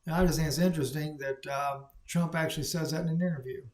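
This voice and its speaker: A man's voice: a little high, steady tone, quick pace. A man giving rambling, opinionated solo commentary on the news, emphatic and full of fillers. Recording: echoey room recording.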